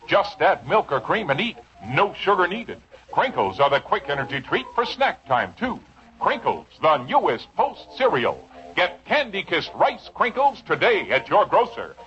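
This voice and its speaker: American guy doing carnival barker radio ad, urgent, highish swoopy voice, muffled. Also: a bit bright. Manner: urgent, exuberant. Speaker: commercial pitchman. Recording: muffled old radio, faint music.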